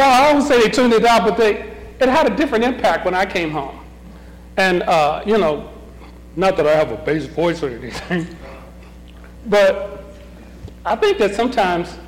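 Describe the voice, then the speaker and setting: Middle-aged man, high bouncy voice, runs a Bible class with questions and chuckles, long room reverb. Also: slight background noise. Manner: questioning, chuckling, restarts often. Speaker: Bible study leader. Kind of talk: leading a group Bible discussion.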